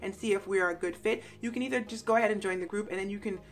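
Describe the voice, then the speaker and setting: A woman's voice: mid-range voice, fairly even intonation, very fast. A woman life coach speaking to camera in an instructive, emphatic way, posing rhetorical questions as she gives motivational self-help advice. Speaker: life coach and vlogger host.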